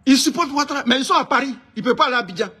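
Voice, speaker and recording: High, husky Ivorian man, ranting insistently. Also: animated, very fast, bright, forceful tone. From Ivory Coast. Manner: indignant, emphatic, repeats phrases insistently. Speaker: online political commentator. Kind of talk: informal political rant to camera.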